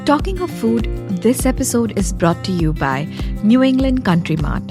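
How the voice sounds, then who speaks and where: Female podcast host, higher voice with noticeable fry, effusive and chatty. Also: sing-song, moderate pace, over music. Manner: effusive, bantering. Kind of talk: scripted intro narration and chatty interviewing. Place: closet.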